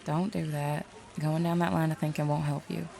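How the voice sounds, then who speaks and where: Actress as streetwise female detective with an uncanny side, audio drama. Very gravelly, mid-low for a woman, drawling and teasing. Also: flat delivery, moderate pace, mellow, slight background noise. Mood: blunt, knowing. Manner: drawling, teasing, folksy slang. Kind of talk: audio roleplay acting.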